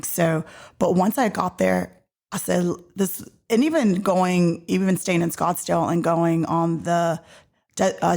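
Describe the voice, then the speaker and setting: A woman in her forties from Georgia, hosting a podcast and telling a personal story in a chatty, casual way, sometimes dropping to a whisper for effect. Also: vocal fry. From Georgia, USA. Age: 40s. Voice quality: deep, loud voice. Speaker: podcast host.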